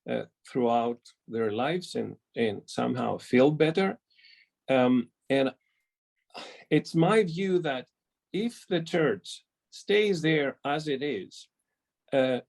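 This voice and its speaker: A man's voice: mid-range voice, creaky, darkish tone. A middle-aged man from Greece with a Greek accent, speaking hesitantly with many fillers as a community member on an online public meeting. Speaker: community member asking a question. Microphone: video call.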